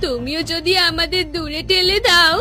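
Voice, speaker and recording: A woman's voice: extremely high pitch, moderate pace, bright, forceful tone, faint music behind. A woman voicing a pleading young daughter in a cartoon. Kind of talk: cartoon voice acting.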